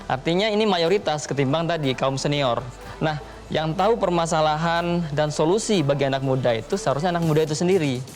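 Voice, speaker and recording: A young man on a television talk panel. His voice sits a touch high, and he lays out his argument point by point at an even, measured pace. Faint music plays softly under the studio sound. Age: young adult. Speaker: talk show guest.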